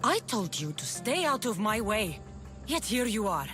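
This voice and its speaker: A woman's voice: mid-range voice, big pitch swings, measured pace. A cold taunting cult warrior girl, swoops around a lot, game music behind. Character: ruthless young woman cult champion, sneering. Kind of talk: video game cutscene acting. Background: game score music.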